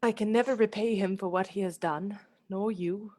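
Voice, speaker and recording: A woman acting in a radio play as a fragile, affectionate young gentlewoman, speaking gently and expressively. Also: medium pitch, fairly even intonation, moderate pace, lightly acted. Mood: frail, fearful.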